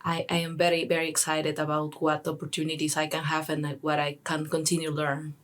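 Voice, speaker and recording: A woman's voice: low-pitched, monotone, fairly quick. Cheerful young woman from Peru. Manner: cheerful, laughs often, hesitant phrasing. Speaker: student guest. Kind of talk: casual podcast conversation.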